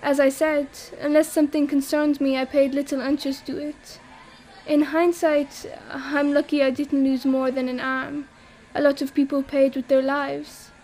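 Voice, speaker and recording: A woman's voice: high voice, little pitch movement, normal speed, darkish tone, low-quality recording. A young woman from France telling her story in an audio drama, wry and self-mocking, laughing as she talks. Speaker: exile interviewed about a disaster. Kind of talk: acted monologue in an audio drama.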